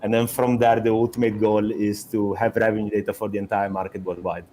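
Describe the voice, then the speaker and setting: A man's voice: lowish voice, flat delivery, fairly quick, warm tone, a little hiss or hum. A young adult man from Italy with an Italian accent, a startup co-founder talking in an interview, hesitant with many restarts and fillers. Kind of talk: conversational interview.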